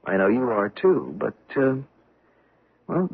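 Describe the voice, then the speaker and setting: Casting: gentle American husband, halting and tactful, medium-pitched, very expressive, muffled old radio recording. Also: male voice, vocal fry, breathy edge, heavily acted. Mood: affectionate, apologetic. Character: gentle, hesitant American husband, mildly reproachful but affectionate. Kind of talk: radio mystery drama acting. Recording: muffled narrow-band old radio broadcast.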